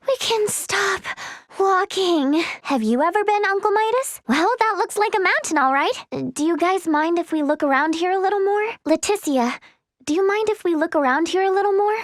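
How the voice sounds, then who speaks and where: Girlish young game heroine, high voice, very lively intonation, earnest, eager, a touch nervous, anime-dub style. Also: no echo, clean studio sound. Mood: bright, chatty. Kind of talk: video game voice acting, animated anime-dub delivery.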